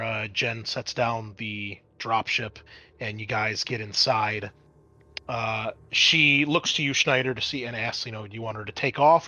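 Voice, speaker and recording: Bright-voiced man running a tabletop game. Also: medium pitch, dry recording. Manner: conversational, explanatory. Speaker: game master. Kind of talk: narrating and refereeing a tabletop game.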